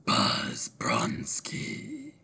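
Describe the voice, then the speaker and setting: An actor playing a menacing masked phantom, an angel of death, in a slow, whispery rasp for a superhero table read. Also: male voice, rumbling low, huge pitch swings, bright, forceful tone, full character voice. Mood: slow menace. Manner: slow, drawn-out, ominous delivery.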